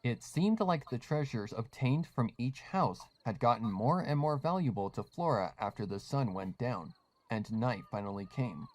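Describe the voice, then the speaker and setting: A man narrates a written story in the third person. His voice sits at an ordinary middle pitch, and he delivers the prose as scripted storytelling. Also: lively intonation, moderate pace. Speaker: fan fiction narrator.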